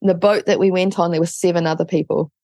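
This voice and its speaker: Female interview guest, New Zealand accent. Deep-set voice with vocal fry, informal and chatty. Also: steady tone, fast, warm tone, no echo, clean studio sound. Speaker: interview guest, online business coach. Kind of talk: casual interview chat.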